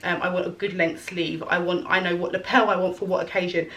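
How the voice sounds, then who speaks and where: A woman's voice: lowish voice, flat delivery, brisk, fairly bright tone, far from the mic, dull audio. Chatty woman, slightly off-mic. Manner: chatty, rambling, self-correcting. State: losing her voice. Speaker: fashion vlogger. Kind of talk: casual fashion try-on chat.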